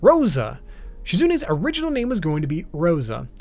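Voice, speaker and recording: A man's voice: on the high side, huge pitch swings, moderate pace, darkish tone. A man narrating an explainer video as its host, in an informal, matter-of-fact way, with music behind him. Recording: background music under voice.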